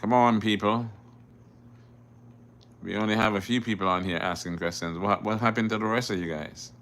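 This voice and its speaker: A man's voice: on the low side, fairly even intonation, moderate pace, a bit bright. A middle-aged man from Jamaica, a driving instructor, hosting a casual live Q&A where he reads viewer questions aloud and answers them plainly. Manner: repeats words and restarts often. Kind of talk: casual livestream Q&A.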